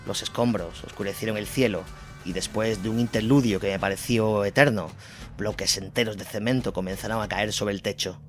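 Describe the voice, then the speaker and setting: A man's voice: on the low side, fairly even intonation, fairly quick. A man from reading a scripted documentary war narration as the narrator of an audio drama. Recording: music bed under the voice.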